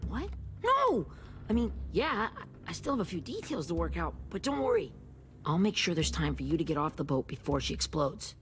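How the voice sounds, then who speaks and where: A performer playing an excitable, reckless young teenage boy in a video game, with an eager, impulsive manner. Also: mid-range voice, moderate pace, slight background noise. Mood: defensive, reassuring.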